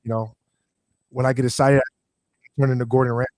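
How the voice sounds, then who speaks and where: A man, a guest on a livestream call, talking casually with a loud, rumbling voice through a computer mic. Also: level tone, fairly quick. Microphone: computer mic over a stream call.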